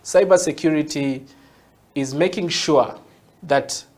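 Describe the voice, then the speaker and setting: Ugandan guy, guest on interview, explains things slow and patient, keeps saying yeah?. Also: a little high, a little mellow. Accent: Ugandan English. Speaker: guest, tech entrepreneur.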